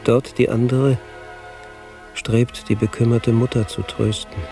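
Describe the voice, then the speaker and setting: Male radio play narrator: solemn verse recitation, unhurried and measured, soft dark tone. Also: medium pitch, little pitch movement, soft background music. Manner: measured, grave, declamatory.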